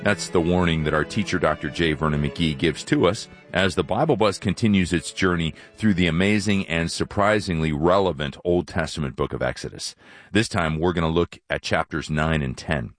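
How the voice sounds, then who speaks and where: A man's voice: deep voice, normal speed, faint music behind. A man hosting a Bible radio program in a scripted style, reading out listener letters.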